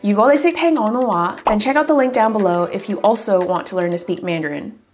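A woman's voice: mid-range voice, quick pace, slight background noise. A woman in her twenties narrating a casual vlog from a small home room, chatty and self-deprecating. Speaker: vlogger. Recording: music bed under the voice.

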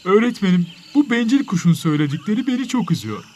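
A man's voice: sits high, very animated, fast, a little mellow, a little hiss or hum. A man voicing a polite, sensitive, reproachful pupil in a children's cartoon, pleading and moralising. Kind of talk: children's educational cartoon acting.

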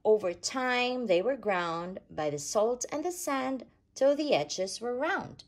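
A woman reading a rhyming children's picture book aloud in an expressive, sing-song storytelling way. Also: fairly high pitch, slow. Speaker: storytime reader.

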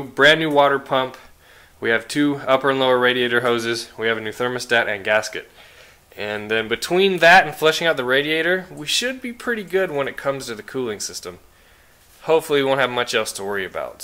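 A man with a bright, forward voice at a middle pitch talks through a car repair step by step. He sounds relaxed and casual and now and then asks viewers a question. It was recorded outdoors on a camera held close while he works. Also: a little fast. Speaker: car restoration vlogger. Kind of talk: casual how-to narration. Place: outdoor driveway by a car engine.

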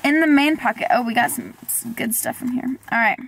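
A woman's voice: on the high side, fairly quick, vocal fry, fairly bright tone, slightly rough, dry recording, low-quality recording. A young woman vlogging casually from inside a parked car, chatty and giggly, saying "like" a lot. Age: young adult. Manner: chatty, giggly, says "like" often. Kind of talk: casual vlog chat. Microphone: camera on the dashboard.

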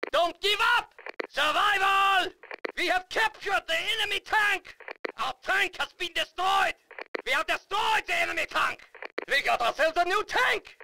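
An actor playing a fierce, fanatical German army officer who barks commanding battle announcements in a German accent for a game. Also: male voice, extremely high pitch, background noise, heavily acted. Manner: barking, emphatic, commanding. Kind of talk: shouted military game announcements.

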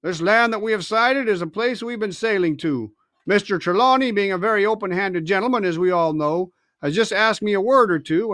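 A man voicing a stern, brisk male ship's captain who speaks in a clipped, commanding way. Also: high voice, a bit bright, dry recording, studio quality, voice pushed up.

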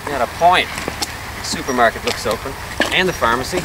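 An easygoing family man played by a male actor in a naturalistic radio drama. He has a mid-pitched voice with lively movement, heard over loud ambient noise. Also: brisk, lightly acted. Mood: thoughtful. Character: easygoing small-town husband and father. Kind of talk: naturalistic audio drama acting. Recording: loud car and street ambience.

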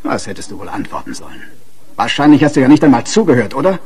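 A man's voice: mid-range voice, very animated, quick pace, darkish tone, husky. An actor playing a domineering, abusive husband in a German radio crime drama, sarcastic and hectoring as he talks. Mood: bitter, accusing. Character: domineering, sneering, abusive husband who bullies his wife.

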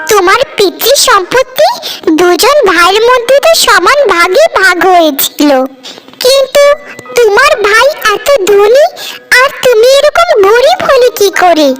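A woman's voice: very high voice, full character voice, poor audio. A woman playing a discontented, envious village housewife in a cartoon, scolding and complaining with sarcasm. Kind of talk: cartoon voice acting in dramatic dialogue. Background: loud background music.

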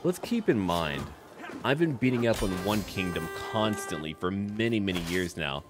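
A man's voice: low voice, animated, moderate pace, music in the background. A man giving let's play commentary on a strategy game, talking to himself and addressing his characters as their ruler. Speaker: video game player and narrator.

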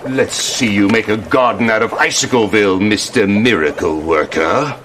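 An actor playing a sly, sardonic middle-aged royal chancellor in a campy fantasy game scene. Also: male voice, on the low side, normal speed, background noise, natural voice. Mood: gloating. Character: scheming, sneering royal chancellor villain, middle-aged man. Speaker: actor in live-action game cutscenes. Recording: music behind the voice.

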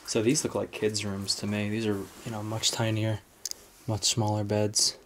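Low-voiced American man, noisy recording. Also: monotone, moderate pace, a bit bright. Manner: unscripted, musing aloud. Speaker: urban explorer host. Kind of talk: casual exploring vlog commentary. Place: indoors, rooms of an old house.

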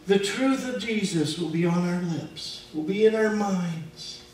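An American man, a pastor preaching and praying in earnest, broken into short phrases. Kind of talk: sermon and spoken prayer. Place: indoor church hall, recorded from far off. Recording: echoey church room, distant mic, faint rumble.

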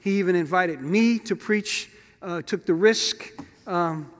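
A man's voice: high voice, takes it slowly. An older man, a pastor, plainly introducing a guest preacher from a large church sanctuary, with a few hesitations. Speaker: host pastor introducing the speaker. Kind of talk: speaker introduction. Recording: long church reverb.

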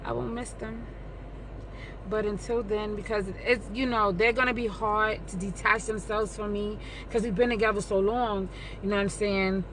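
A woman's voice: medium pitch, fairly even intonation, normal speed, noisy background. Middle-aged woman, playful and talkative vlogger on a close-held phone. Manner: playful, talkative, sing-song asides. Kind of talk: casual chat to camera. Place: living room at home, then parked car. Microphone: phone camera held close.

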